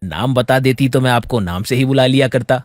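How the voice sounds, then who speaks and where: A man's voice: medium pitch, brisk, no room sound, clean studio sound, long reverb tail, lightly acted. A man playing a young, courteous, slightly flustered prince in a fairy tale, polite and hesitant. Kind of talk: Hindi fairy tale audio acting.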